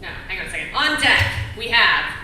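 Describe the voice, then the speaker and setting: A woman hosting an open mic, introducing the next comic with animated build-up. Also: medium pitch, brisk. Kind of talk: emcee introduction. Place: small comedy club. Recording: echoey club, distant mic, loud noise.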